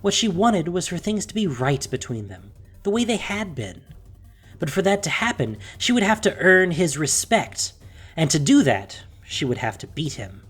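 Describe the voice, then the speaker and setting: Husky, expressive fantasy story narrator. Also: medium pitch, moderate pace, faint music behind. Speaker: audiobook narrator. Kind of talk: scripted dramatic story narration.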